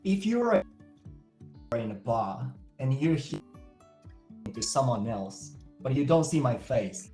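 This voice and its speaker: A man's voice: mid-range voice, sing-song, slow, pauses often, mellow, reverberant, soft background music. A Japanese man with a slight Australian accent, chatting hesitantly with English tutors and hosting his channel. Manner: hesitant, searching for words. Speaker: YouTuber interviewing English tutors. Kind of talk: casual chat and vlog outro.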